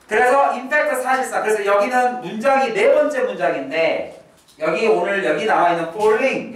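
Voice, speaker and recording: A middle-aged man lectures on exam prep in an academy classroom, his voice sitting a little high and swinging widely in pitch. He punches key points, then sinks to quiet murmured asides. The sound carries a heavy room echo, picked up from some distance with a faint hiss behind it. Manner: lively, emphatic, drops to a low murmur for asides. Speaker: English teacher.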